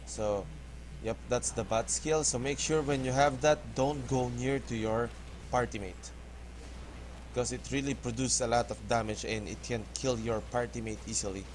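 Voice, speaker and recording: A man from the Philippines with a Filipino accent, English not his first language, giving halting, hesitant commentary over a game tutorial. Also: medium pitch, fairly even intonation, slight background noise, rough recording. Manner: hesitant, halting, restarts words often. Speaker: gaming tutorial host. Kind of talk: casual gameplay commentary.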